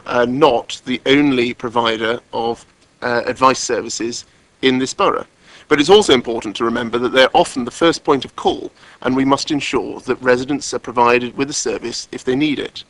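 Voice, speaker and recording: A man delivering a formal speech as a councillor at a council meeting, in a measured, deliberate way with emphatic repetition. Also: medium pitch, a bit bright, dry recording. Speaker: councillor, cabinet member.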